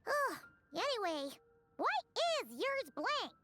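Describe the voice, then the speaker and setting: A woman voicing a tiny childlike fairy companion in a video game, chirpy, sceptical and sassy, with exaggerated squeaky delivery. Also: big pitch swings, slow pace, noisy background, exaggerated voice. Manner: squeaky, exaggerated, speaks of self in third person. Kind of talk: English video game voice acting. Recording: game music behind the voice. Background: game music.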